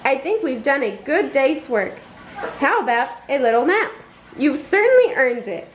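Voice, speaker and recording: A friendly male cartoon mouse from a storybook, played by a woman reader, high and unhurried. He gently coaxes and mildly scolds like a patient, easily exasperated dog owner. The sound is echoey with some background noise. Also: big pitch swings, highs cut off. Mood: gentle, coaxing. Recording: roomy echo, noticeable background noise.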